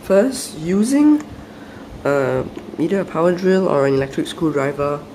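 A young man with a croaky, soft voice after a flu, hosting a casual hobby tutorial and explaining each step with small hesitations and restarts. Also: fairly high pitch, animated, measured pace, slight background noise. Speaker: aquarium hobby channel host. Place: indoors at a table.

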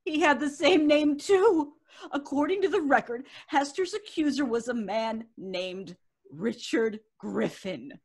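A woman's voice: sits high, animated, a little slow, darkish tone. A woman playing an anxious, pleading wife in an old-time radio drama. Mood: urgent.

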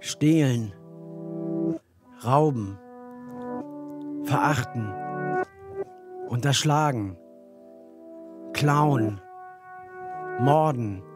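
An actor playing a loud, forceful man with a husky voice, declaiming in a radio play. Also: mid-range voice, very slow, pauses often. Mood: grim, declaiming. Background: music.

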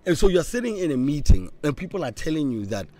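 A young man, a podcast guest and former radio presenter, talking bluntly and heatedly, slipping into Setswana, recorded outdoors on a boom mic. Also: very animated, quick pace, warm tone. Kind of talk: casual interview talk. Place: outdoor table on a campus courtyard. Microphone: studio mic on boom arm.

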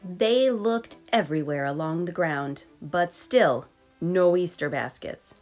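A low-voiced woman reads a picture book to young children. She is expressive and measured in her phrasing, and soft music plays underneath. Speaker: storybook reader. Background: soft background music.

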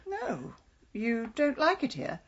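A woman's voice: fairly high pitch, huge pitch swings, slow, muffled recording, heavily acted. An actress playing a tart, haughty middle-aged English village woman in a radio drama, with dry, clipped delivery. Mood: dryly polite.

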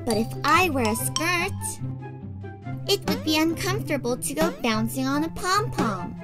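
A woman voicing a sweet, curious little girl in a children's puppet show, gentle and a bit hesitant. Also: high-pitched, animated, normal speed. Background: children's music.

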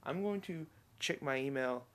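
A tired young man rambling in a low-key way to a webcam from his bedroom, recording a casual video diary. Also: expressive, normal speed, a bit bright. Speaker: vlogger. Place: bedroom at home.

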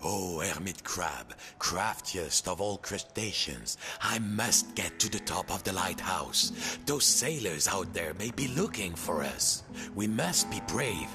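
A man's voice: low voice, lively intonation, slow. A man voicing a wooden puppet fisherman in a storybook, speaking earnestly. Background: music.

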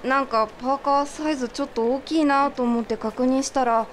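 A woman's voice: high-pitched, fairly even intonation, fairly quick, a little hiss or hum, natural voice. An actress playing a polite, apologetic but firm young woman in a comedic radio drama.

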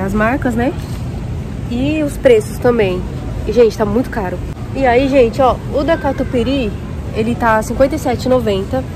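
A young woman vlogging while shopping in a large supermarket, chatting to viewers and comparing prices aloud, filmed on a phone at arm's length. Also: a little high. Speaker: vlogger, café owner. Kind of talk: casual shopping vlog. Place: large warehouse supermarket, indoors. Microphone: phone held at arm's length. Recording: noisy store ambience.

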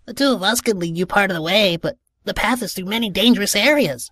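A woman's voice: medium pitch, fast talker, a bit bright, lightly acted. A woman voicing a whiny, rebellious teenage goblin slacker in a cartoon fan dub, with slangy teen talk. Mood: matter-of-fact.

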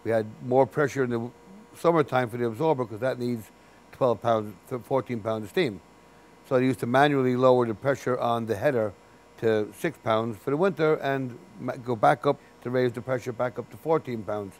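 A man in his fifties, a building's chief engineer, explaining his work in a sit-down interview in a basement boiler room, practical and unhurried. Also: medium pitch. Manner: plain-spoken, practical, unhurried with restarts. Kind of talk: interview for a training video.

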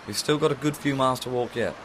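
A man's voice: mid-range voice, quick pace, close to an everyday voice, low-quality recording. A man playing a weary, wry time-traveling Doctor with dry, understated humor. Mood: resigned. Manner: dry, gloomy, understated wit. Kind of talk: fan audio drama acting.

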